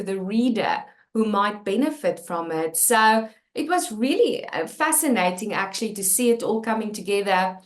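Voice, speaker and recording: South African woman author, Afrikaans first language, sincere and halting, roomy sound. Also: mid-range voice. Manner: candid and earnest, many fillers and restarts. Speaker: author and channel host. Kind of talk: personal talk about her book.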